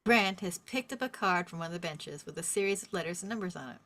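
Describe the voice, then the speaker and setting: A woman's voice: on the low side, quick pace, pushed and bright. A woman reading stage directions as a scripted narrator, in a vivid descriptive storytelling manner.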